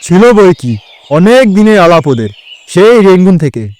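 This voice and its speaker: High-voiced, emotional ageing gentleman. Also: big pitch swings, normal speed, no echo. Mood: surprised. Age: older. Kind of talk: audio drama dialogue.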